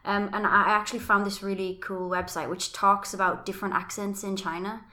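A woman co-hosts a podcast with a bright, forward tone. She explains points steadily in relaxed conversation, with her voice in the middle of the range. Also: mid-range voice, a little fast. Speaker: podcast co-host. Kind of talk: casual chat.

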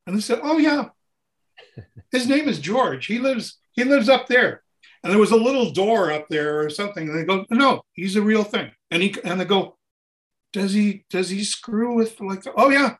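A man with a notably high voice speaks as a guest in an interview. His style is informal and animated, like a musician swapping tour stories. He acts out what people said and repeats phrases to drive them home. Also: moderate pace. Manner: acts out dialogue and sounds while telling stories. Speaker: interview guest, touring keyboard player. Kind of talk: casual animated anecdote telling.

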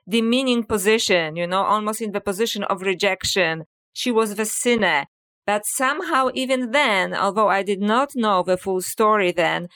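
A woman's voice: medium pitch, fairly bright tone, clean tone. A middle-aged woman with a Polish accent, a guest author speaking reflectively in a podcast interview. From Poland. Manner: reflective, storytelling with frequent "you know".

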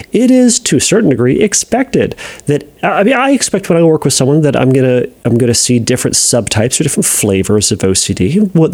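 A man's voice: fairly high pitch, a little mellow. A man working as a therapist, answering listener questions on a podcast in a hesitant way, with many fillers and restarts. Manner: reads listener letters aloud.

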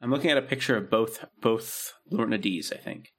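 A man's voice: fairly low pitch, fairly quick, a bit bright. A man co-hosting a podcast in casual banter, with a laid-back, loose delivery that often trails off. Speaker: podcast co-host.

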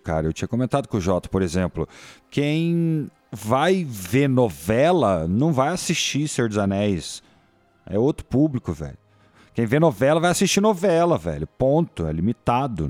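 A man's voice: fairly low pitch, darkish tone, no room sound. A man with a Brazilian Portuguese accent in casual podcast chat, making blunt, emphatic points in slang.

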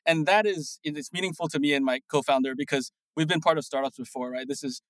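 A man in his thirties from Singapore, a startup founder answering interview questions in a quick, animated way with many restarts. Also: fairly high pitch, dry recording, poor audio. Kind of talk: casual interview talk.

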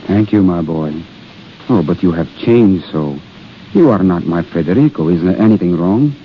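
An actor playing a gentle, worried, fatherly army priest, solemn and tender, in 1930s radio drama style. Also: low-pitched, lively intonation. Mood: gentle, concerned. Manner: solemn, tender, drawn-out pleading. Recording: muffled, hissy 1930s radio recording.

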